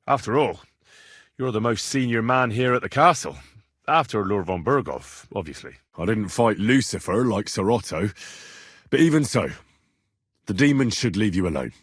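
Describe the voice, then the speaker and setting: A young medieval vagabond posing as a learned healer in a video game. His voice is slightly low and husky, with very animated pitch. He speaks with earnest, deferential formality, close and dry. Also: dry recording. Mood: plain, businesslike. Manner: deferential, measured, formal address. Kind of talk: scripted video game dialogue.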